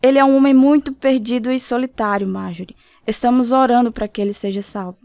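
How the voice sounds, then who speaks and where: A woman's voice: a little high, fairly even intonation, fast talker, over music, lightly acted. An actress playing a devout, compassionate married woman in a radio drama. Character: devout, caring married woman concerned for a lost soul.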